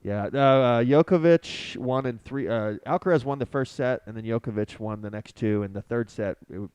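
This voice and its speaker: A man's voice: medium pitch, moderate pace, a little mellow, smooth, no room sound. Chatty male sports podcast host. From United States. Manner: enthusiastic, chatty. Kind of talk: casual sports chat.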